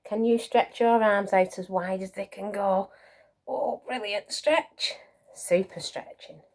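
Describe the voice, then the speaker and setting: A woman's voice: mid-range voice, steady tone, slow pace, darkish tone. A woman reading a picture book to young children, with encouraging asides and questions to her listeners. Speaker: children's storyteller.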